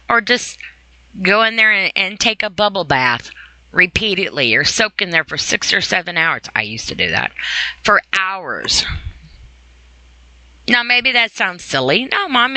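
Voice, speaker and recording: Woman podcast host, forceful bright tone, very dry sound, informal opinionated solo talk with emphatic repetition. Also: fairly low pitch, sing-song, unhurried, no room sound. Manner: emphatic, repeats phrases for stress.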